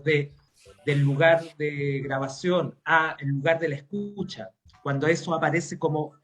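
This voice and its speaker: Higher-voiced Chilean man, halting and reflective. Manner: halting, searching for words. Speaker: interview guest, academic and playwright. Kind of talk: reflective spoken explanation.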